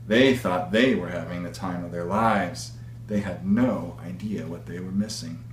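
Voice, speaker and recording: Male author-narrator, lowish voice, measured expressive book reading, strong room echo. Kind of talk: read-aloud story narration. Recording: echoey room with faint hum.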